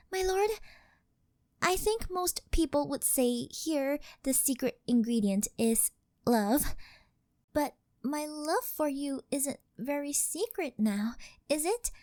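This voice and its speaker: A woman's voice: sits high, lively intonation, takes it slowly, a little mellow, no echo, studio quality. A woman playing a devoted yandere doll maid who worships her master, speaking with reverent, formal servility in an ASMR roleplay. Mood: reverent, adoring.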